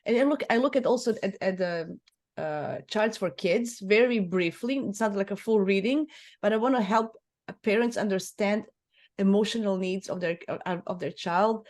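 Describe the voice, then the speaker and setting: A woman's voice: medium pitch, a little mellow. A middle-aged woman from Croatia with a Croatian accent, a native Croatian speaker, talking casually as an astrologer being interviewed, often restarting and correcting herself. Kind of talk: casual chat.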